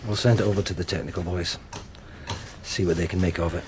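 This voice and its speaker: A man's voice: low voice, fairly even intonation, quick pace, a little hiss or hum, close to an everyday voice. A man playing a practical local police detective in a radio drama, reporting in a methodical, matter-of-fact way. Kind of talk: naturalistic radio drama acting.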